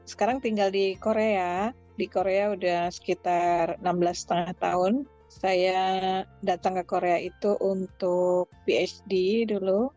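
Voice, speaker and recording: A woman's voice: on the low side, level tone, a little slow, warm tone, soft background music. A middle-aged woman from Yogyakarta with an Indonesian accent, answering interview questions conversationally in lo-fi audio. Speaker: interview guest, restaurant owner.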